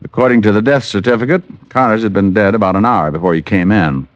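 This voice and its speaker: An actor playing a shrewd, persistent private detective in an old radio drama, on a muffled recording. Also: male voice, on the low side, quick pace, dry recording, natural voice. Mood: probing, composed.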